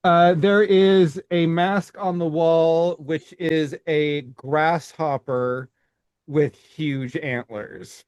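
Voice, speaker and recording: A middle-aged man co-hosting a casual podcast, speaking in halting phrases with many restarts and stammers. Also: fairly high pitch, fairly even intonation, a little mellow, smooth, no room sound. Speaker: podcast co-host. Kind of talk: casual chat.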